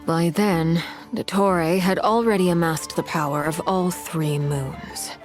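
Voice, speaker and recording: An actress playing a cold, resolute noblewoman commander in a video game, speaking in a measured, formal, grave way. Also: lowish voice, steady tone, soft background music, lightly acted.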